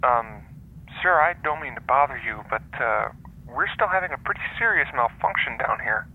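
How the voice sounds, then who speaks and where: An actor playing a hesitant, deferential transporter chief over an intercom in a science fiction audio drama. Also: male voice, medium pitch, animated, moderate pace, sharp tone, heavily acted, rough recording. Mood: nervous, deferential. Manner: hesitant, apologetic. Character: nervous, deferential male transporter room chief. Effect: intercom radio filter.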